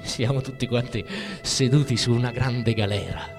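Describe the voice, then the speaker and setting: A man's voice: mid-range voice, steady tone, normal speed, natural voice. An actor playing a bitter, cynical everyman in a declamatory theatrical monologue with music. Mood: angry, bitter. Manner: declamatory, swinging from muttering to shouting. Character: bitter, ranting, cynical everyman raging at the bosses. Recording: long reverberant hall, music behind.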